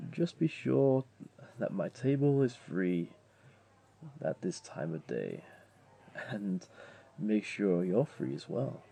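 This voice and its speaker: A man's voice: deep voice, creaky, warm tone, slightly rough, exaggerated voice. A man playing a wealthy, flirtatious jaguar beastling in a comforting audio roleplay, tender and teasing, with a coaxing, purring delivery.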